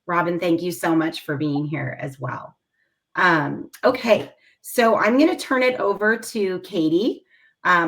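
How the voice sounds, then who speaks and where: A woman's voice: fairly low pitch, a bit bright, low-quality recording. Woman moderating a webinar. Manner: friendly, organized hand-offs.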